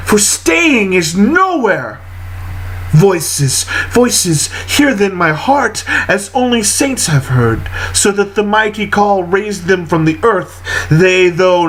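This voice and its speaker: A man reading poetry aloud in a solemn, literary style, with deliberate, measured phrasing and frequent pauses. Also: high-pitched, a bit bright. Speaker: poetry reader.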